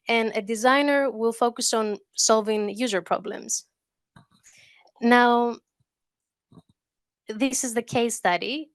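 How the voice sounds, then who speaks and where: A woman's voice: on the high side, dry recording, studio quality. A woman from Greece with a Greek accent, giving a conversational conference talk at a mic, with fillers and restarts. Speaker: conference speaker. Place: conference hall. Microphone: handheld or lectern mic.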